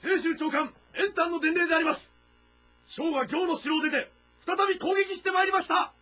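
An actor playing an urgent army messenger of ancient China in a game drama, delivering a loud formal report. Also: male voice, extremely high pitch, steady tone, normal speed, soft background music. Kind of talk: dramatic audio-novel game acting.

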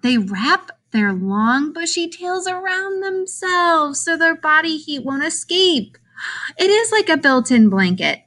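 A woman reads a picture book aloud for young children in a high, bright voice. Her delivery is very animated, swooping up and down, with emphatic questions and answers. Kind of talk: expressive children's read-aloud narration.

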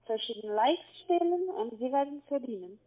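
A woman with a foreign accent speaking on a phone call as a call-centre agent, insistent, with halting non-native phrasing. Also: sits high, lively intonation, slight background noise. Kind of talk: phone conversation. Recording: tinny narrow phone line.

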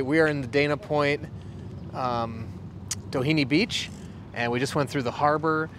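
A man hosting an outdoor vlog, chatting casually and asking people questions. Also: mid-range voice. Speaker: host and interviewer. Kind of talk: casual vlog chat and interviewing. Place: outdoors at a rest stop by the beach. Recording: clear outdoor urban and vehicle noise.

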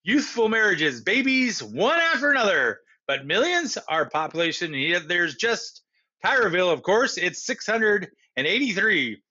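A man's voice: high-pitched, very animated, measured pace, bright, forceful tone, lo-fi sound, pitched above the performer's own voice, heavily acted. A man voicing an alien president who explains and wonders aloud in a storybook reading. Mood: incredulous.

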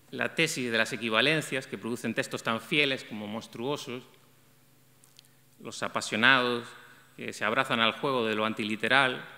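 A middle-aged man sits at a table microphone and reads a prepared essay in a measured, reflective way, now and then restarting a phrase. His voice is bright and forceful, carried by the long reverb of a large hall. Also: medium pitch, creaky. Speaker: event coordinator presenting a talk on translation. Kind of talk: reading a prepared essay aloud. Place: arts center stage, seated at a table.

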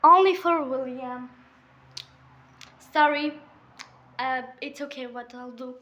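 A woman's voice: sits high, takes it slowly, fairly bright tone, slight background noise. A young woman giving casual, emotional reaction commentary in a hesitant, tender way. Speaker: reaction video maker.

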